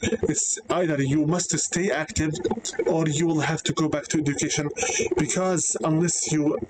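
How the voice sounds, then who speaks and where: A man's voice: fairly high pitch, steady tone, normal speed. A young man, not a native English speaker, delivering an earnest personal vlog monologue with frequent hesitations and self-corrections. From Canada. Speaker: vlogger. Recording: noisy background.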